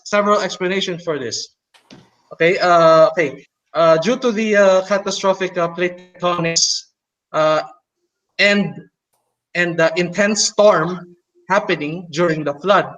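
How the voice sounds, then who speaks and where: A man speaking with a Chinese and Tagalog accent, his voice sitting fairly high. He stops and restarts often, filling gaps with "uh" while laying out his rebuttal on a casual podcast call. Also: fairly bright tone, muffled recording. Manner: hesitant, many fillers and restarts, mocking laughs. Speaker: co-host.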